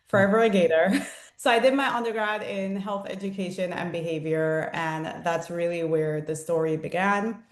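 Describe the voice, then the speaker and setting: A middle-aged woman from Jamaica giving conversational, explanatory answers as an interview guest. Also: deep voice. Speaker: interview guest, founder and consultant. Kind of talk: conversational interview answers about own career. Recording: roomy, echoing sound.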